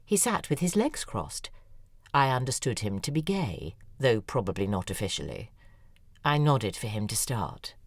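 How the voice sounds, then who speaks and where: A woman's voice: rumbling low, very animated, moderate pace, no echo, exaggerated voice. An actress narrating in first person as an acerbic, weary female novelist in an audio drama. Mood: wry. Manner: sardonic, weary. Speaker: narrator and lead character, a novelist.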